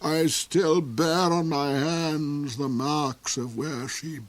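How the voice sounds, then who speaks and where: A man voicing a cold, cruel duke in a storybook reading, with shrill, theatrical outbursts. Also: fairly even intonation, takes it slowly. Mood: ranting.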